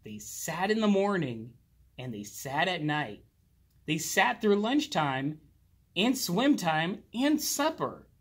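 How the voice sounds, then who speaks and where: A man reading a children's picture book aloud expressively, drawing out words and pausing. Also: sits high, husky. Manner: slow, animated, with drawn-out words and pauses. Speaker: children's storybook reader. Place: indoors, library with bookshelves.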